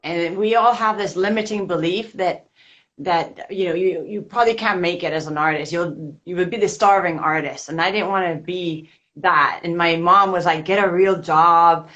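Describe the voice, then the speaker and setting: A low-voiced woman from Spain talks about her life in a relaxed, chatty way, laughing often. Her video-call audio sounds dull and lo-fi. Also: moderate pace. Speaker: artist guest. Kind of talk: casual interview over video call.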